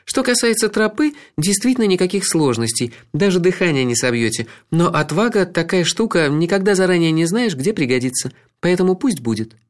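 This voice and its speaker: Brief: a male reader putting on a high, lively woman's voice, teasing and battle-worn, for an audiobook. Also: brisk, few pauses. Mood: amused, friendly. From Croatia. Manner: teasing, matter-of-fact, stoic. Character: wry red-haired woman, warm but battle-worn. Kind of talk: audiobook character voice.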